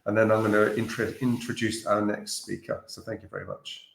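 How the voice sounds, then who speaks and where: A man's voice: lowish voice, little pitch movement, fairly quick, vocal fry, reverberant, poor audio. A man moderating an online conference, politely introducing speakers in an upbeat way with some fillers. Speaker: conference host.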